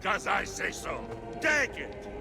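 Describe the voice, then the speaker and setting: A brutal, menacing cult bully from a video game. The voice is high and very animated, barking domineering threats and curses over clear background music. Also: male voice, slow, exaggerated voice. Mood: furious. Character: brutal, sadistic, foul-mouthed male cult bully. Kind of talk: dramatic video game acting.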